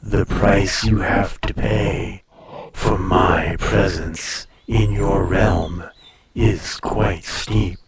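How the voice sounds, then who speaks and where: A man playing an ancient, proud dragon in humanlike form in an intimate audio roleplay, speaking to the listener with haughty, teasing condescension and drawn-out phrasing. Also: deep voice, noisy background. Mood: mocking, probing.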